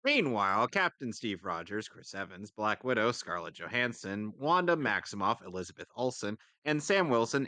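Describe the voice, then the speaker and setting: Bright, animated man reading comedy aloud. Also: mid-range voice, dry recording. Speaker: co-reader on a comedy livestream. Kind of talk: lively comedic read-aloud narration.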